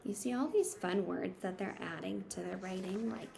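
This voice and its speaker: A woman's voice: mid-range voice, moderate pace, gravelly, warm tone. A woman reading a picture book aloud like a teacher, expressively, with simple explanatory asides for children.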